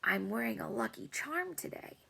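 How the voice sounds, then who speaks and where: A woman's voice: mid-range voice, lively intonation, a little slow. Woman doing a scheming, theatrical little boy. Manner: theatrical, conspiratorial, hushed at times.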